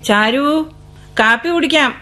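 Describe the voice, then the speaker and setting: A woman's voice: sits high, slow pace, pushed and bright, heavily acted. An actress playing a busy middle-aged mother calling the family to dinner. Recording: vintage radio broadcast with music and dishes.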